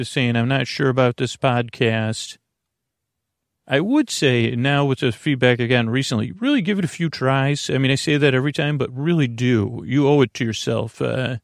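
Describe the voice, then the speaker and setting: A man with a creaky, fry-laden voice at an average pitch hosts a bedtime podcast. He meanders conversationally through tangents, mumbling, stumbling and gently putting himself down. The sound is dry, with no room echo. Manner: meandering, mumbling, stumbling, self-deprecating, with tangents and mixed-up words. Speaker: podcast host. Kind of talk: rambling, conversational bedtime sleep podcast monologue.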